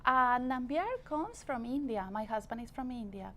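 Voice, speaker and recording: Higher-voiced woman with a Colombian Spanish accent. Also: animated, normal speed, fairly bright tone, a little hiss or hum. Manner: patient, explanatory, with frequent fillers. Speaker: guest. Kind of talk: casual interview talk.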